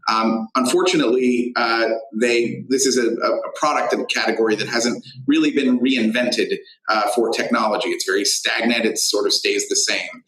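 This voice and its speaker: A man being interviewed as a tech founder, explaining his business in halting phrases with frequent pauses. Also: medium pitch, steady tone, a bit bright, echoey room, rough recording.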